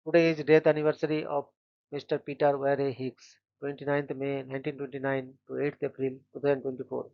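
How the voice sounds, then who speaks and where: A man hosting a daily channel, reading short scripted biographies in a flat, steady way and stumbling now and then on names. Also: medium pitch, creaky, muffled recording. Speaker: host of a daily events channel.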